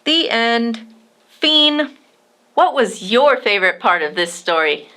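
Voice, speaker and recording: Casting: female storybook host, bright and slow-paced, expressive read-aloud for kids. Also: on the high side, clean tone. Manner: expressive, addresses young listeners directly. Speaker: children's storybook reader and host. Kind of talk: animated read-aloud narration for children.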